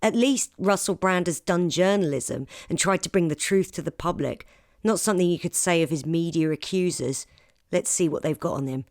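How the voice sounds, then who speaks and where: A cynical, suspicious woman played by a voice actress reads out her online opinions in a pointed way. Her voice is on the low side. The recording is dry and close. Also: normal speed, darkish tone, no room sound, natural voice. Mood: cynical, dismissive. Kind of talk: dramatic reading of social media posts.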